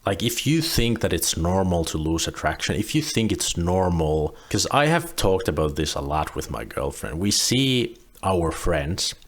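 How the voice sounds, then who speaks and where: A young man, relaxed and rambling, commenting on a video clip he is reacting to. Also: sits low, unhurried, hardly any pauses. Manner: relaxed, rambling with frequent restarts.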